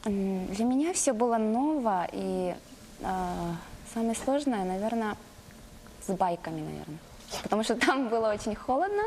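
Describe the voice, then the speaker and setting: Yakut-accented actress, hesitant interviewee. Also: female voice, mid-range voice, lively intonation, normal speed, darkish tone, a little hiss or hum. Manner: hesitant, many fillers.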